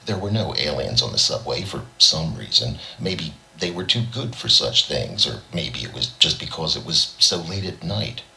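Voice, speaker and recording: Male audiobook narrator, extremely low pitch, very forceful bright edge, expressive story reading. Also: moderate pace, gravelly, hardly any pauses, rough recording. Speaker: audiobook reader.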